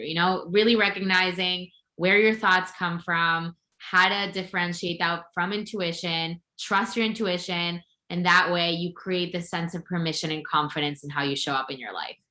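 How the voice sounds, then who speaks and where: Bright-voiced woman in an echoey room. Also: lowish voice. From Venezuela. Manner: open, upbeat storytelling with frequent laughs. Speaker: podcast guest, intuitive coach. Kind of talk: casual interview chat.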